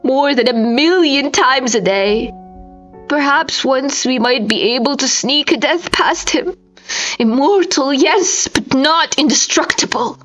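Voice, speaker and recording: A woman narrates a horror story with great theatrical intensity. Her voice is high and her pace is slow and drawn out, with music playing softly behind her. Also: lo-fi sound. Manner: intense, theatrical, swinging into whispers and anguished cries. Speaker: narrator reading a short story aloud. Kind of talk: dramatic horror audiobook narration.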